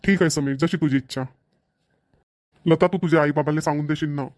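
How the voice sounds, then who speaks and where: A man's voice: fairly high pitch, steady tone, fairly quick, darkish tone, natural voice. A man voicing an easygoing middle-aged village father in a cartoon, matter-of-fact and approving, with a Vidarbha Marathi accent. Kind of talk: amateur cartoon dialogue.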